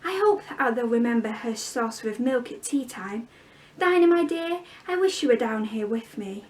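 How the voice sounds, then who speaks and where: A woman voicing a polite, curious young girl who talks in a bright, wondering way. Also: a little high, lively intonation, normal speed, lightly acted. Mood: wistful.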